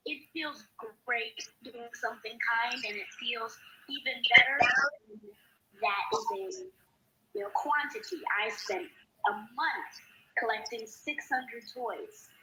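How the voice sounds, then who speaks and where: A child guest giving simple, deliberate interview answers. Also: mid-range voice, pushed and bright. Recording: echoey room with faint noise.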